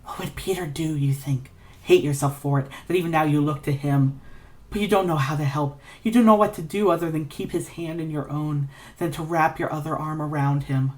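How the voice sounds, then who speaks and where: A woman reading literary fiction as a dramatic narrator, intense and deliberate in delivery. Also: deep voice, steady tone. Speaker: audiobook-style reader of fan fiction.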